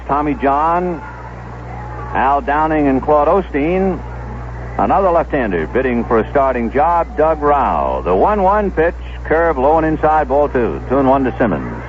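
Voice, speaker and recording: Lively man calling baseball on vintage radio. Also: slight background noise. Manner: measured, descriptive, storytelling between pitches. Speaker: baseball play-by-play announcer. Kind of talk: 1970s radio baseball commentary. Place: stadium broadcast booth. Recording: dull lo-fi vintage AM radio broadcast.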